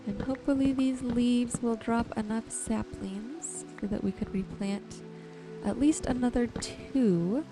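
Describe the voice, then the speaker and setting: A woman giving relaxed ASMR-style gameplay commentary, gently thinking aloud without hurry. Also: fairly high pitch, measured pace, music in the background. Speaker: gaming video host.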